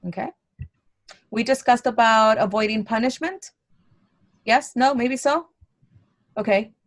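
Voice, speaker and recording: A woman's voice: on the high side, unhurried, no echo. A young adult woman giving a college lecture in a conversational way, with many fillers and restarts.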